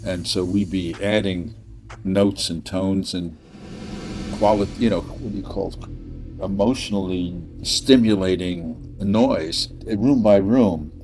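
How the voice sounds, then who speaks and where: An older American man from New Jersey hosting a casual, rambling conversation, often halting to search for words. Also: deep voice, music in the background. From New Jersey, USA. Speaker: podcast host and sound designer.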